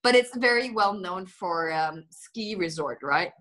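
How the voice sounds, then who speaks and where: A woman in her thirties from Germany chats casually on a video call from her living room, animated and giggly, with thoughts that trail off. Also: on the low side, a little slow. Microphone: video call, computer microphone.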